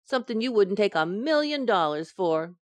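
A woman's voice: mid-range voice, sing-song, normal speed, no echo, lightly acted. An actress playing a soothing, affectionate, motherly friend in an old-time radio sitcom. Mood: reassuring. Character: reassuring, motherly woman comforting her pregnant friend.

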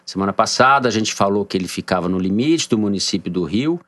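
A man's voice: lowish voice, lively intonation, fast talker, a bit bright, dry recording. A man with a São Paulo accent hosting a political podcast, bantering with co-hosts and reading listener messages in a jokey way. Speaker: podcast host. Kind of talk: casual political podcast chat.

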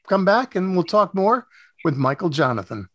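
A man's voice: high-pitched, very animated, moderate pace, a bit bright, dry recording, studio quality, soft background music. A man hosting a casual interview, feeling his way through sentences with repeated starts. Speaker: radio show host.

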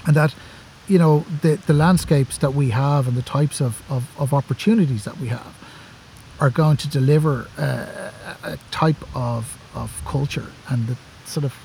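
A man's voice: medium pitch, moderate pace, creaky, a little mellow, a little hiss or hum, poor audio. An Irish man from Dublin, a forestry worker, talking enthusiastically in a casual outdoor conversation, often repeating words as he thinks. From Ireland. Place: outdoors among camping gear.

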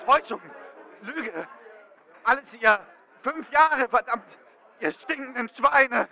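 An actress playing a distraught, raging woman who shouts accusations in short, hard outbursts in a drama. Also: high-pitched, slow pace, dull audio, heavily acted. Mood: enraged, desperate. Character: distraught raging accuser screaming of lies and betrayal. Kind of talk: acted German drama.

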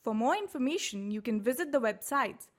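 Higher-pitched Indian woman, corporate narration. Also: animated, brisk. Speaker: voice-over artist.

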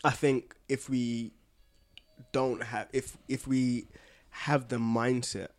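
A man's voice: medium pitch, level tone, takes it slowly. A man chatting casually as a podcast panellist, with an informal, slangy and joking way of talking. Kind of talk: casual chat.

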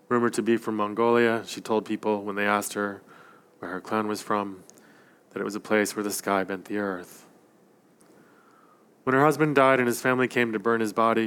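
Male reader, low smooth voice, calm reflective literary delivery. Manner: measured, reflective. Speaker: narrator. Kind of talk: literary reading.

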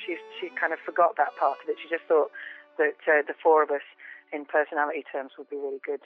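A woman with a low voice, a folk musician chatting as a guest in a phone interview, often repeating words while she thinks. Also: level tone, quick pace, dry recording, faint music behind. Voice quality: low female voice. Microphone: telephone. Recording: tinny phone line.

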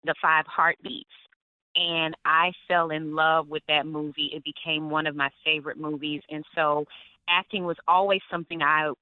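A young adult woman from Atlanta, an actress telling lively stories in an interview and laughing often. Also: low-pitched, no room sound. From Atlanta, Georgia. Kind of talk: interview on the phone. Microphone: phone. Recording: tinny phone line, dry.

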